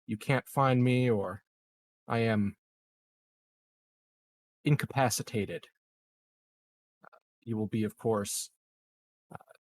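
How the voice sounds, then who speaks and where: A man playing a halting, official colony leader in a tabletop game, with formal, hesitant phrasing. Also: medium pitch, steady tone, lightly acted.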